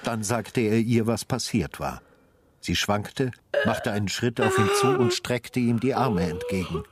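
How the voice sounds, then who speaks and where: A man narrating a literary radio drama in a sombre, measured and grave style. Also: on the low side, huge pitch swings, slight background noise.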